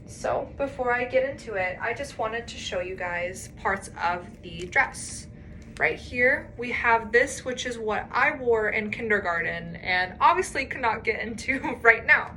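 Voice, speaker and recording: A woman's voice: medium pitch, sharp tone, slight background noise. A young woman giving a prepared class presentation, explaining in an earnest way with a few light laughs. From United States. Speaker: student presenter.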